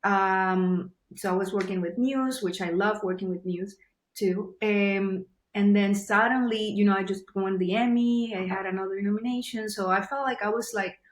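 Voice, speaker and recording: A woman's voice: medium pitch, fairly even intonation, moderate pace, echoey room. A woman from Venezuela with a Venezuelan Spanish accent, a native Spanish speaker, talking earnestly as a podcast guest and often searching for her words. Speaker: guest, journalist. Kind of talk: casual podcast interview.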